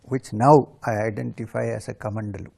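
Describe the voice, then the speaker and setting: A man and spiritual teacher, whose home language is Urdu, calmly recounting his own life story with measured pauses. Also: on the low side, creaky, slightly rough.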